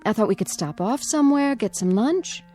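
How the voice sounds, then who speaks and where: A caring adult granddaughter in a radio drama. Her voice is a touch high and very expressive, gentle and coaxing, with faint music behind it. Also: fairly quick. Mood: concerned, coaxing.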